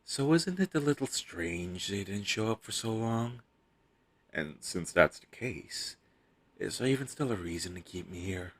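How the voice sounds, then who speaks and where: A man's voice: lowish voice, expressive, measured pace, a bit bright, husky, exaggerated voice. A man playing a captured superhero posing as a hostage in an intimate audio roleplay, wry, teasing and flirtatious.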